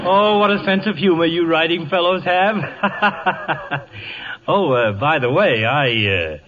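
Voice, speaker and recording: An actor playing an oblivious, older small-town doctor, jovial, hesitant and obsequious, in radio comedy style. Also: male voice, fairly high pitch, expressive, takes it slowly, a bit bright. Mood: eager, jovial. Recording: dull old radio broadcast recording.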